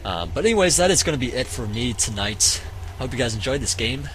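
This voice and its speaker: Hoarse man casting a strategy game. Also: medium pitch, expressive, a bit bright, slight background noise, low-quality recording. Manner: halting, many fillers and restarts. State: voice getting hoarse. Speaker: game caster. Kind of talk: casual play-by-play commentary.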